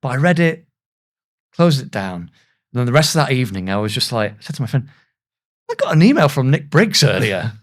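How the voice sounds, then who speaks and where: A man's voice: medium pitch, big pitch swings, brisk, long pauses. Self-deprecating man in his thirties, chatting. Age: 30s. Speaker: guest, voice actor. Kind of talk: casual podcast interview, anecdotal storytelling.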